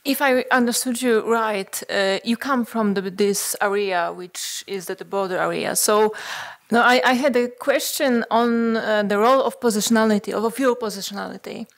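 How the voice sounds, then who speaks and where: A woman's voice: a little high, normal speed. A woman from Poland, an audience member asking a question at a seminar, carefully searching for her words. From Upper Silesia, Poland.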